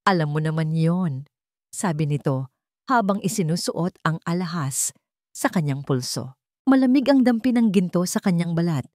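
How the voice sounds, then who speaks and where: A woman's voice: low voice, mellow, no echo, studio quality. A woman reading a scripted dramatic family story as its narrator. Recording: music behind the voice.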